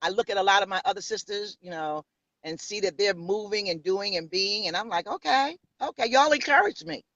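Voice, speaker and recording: This is a woman in her fifties from New York who speaks with a New York accent. She tells her story in a bold, sassy way, and her voice is bright and forceful. The sound is close and dry, though a little muffled. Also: mid-range voice, brisk, dry recording. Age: 50s. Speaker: pastor and interview guest. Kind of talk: casual interview.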